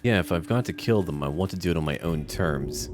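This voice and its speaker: A man with a deep voice talks through his game, thinking aloud and calling to his party in a chatty, relaxed way. His pitch swings lively, and soft music plays underneath. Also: a little fast. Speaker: gamer playing a role-playing game. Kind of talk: casual let's-play commentary.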